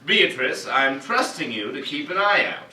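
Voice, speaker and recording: A man's voice: fairly high pitch, big pitch swings, moderate pace. A man playing an encouraging, showy theme park boss in a staged cartoon reading. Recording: echoey room, distant mic, faint noise.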